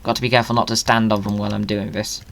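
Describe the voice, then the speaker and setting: A gaming creator talks in a medium-pitched voice. The delivery is playful and excitable, running chattily through commentary as if joking with viewers. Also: very fast, vocal fry, slight background noise. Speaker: video creator hosting a gaming video.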